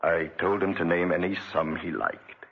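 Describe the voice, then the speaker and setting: An actor playing a cruel, obsessive, vengeful wealthy gentleman in a 1940s radio drama, with an imperious, theatrical delivery. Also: deep voice, steady tone, moderate pace, breathy edge. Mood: brooding narration. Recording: muffled vintage 1940s radio recording.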